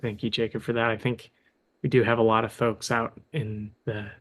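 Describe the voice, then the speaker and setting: A man moderating a question session in a flat, low-key way. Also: moderate pace.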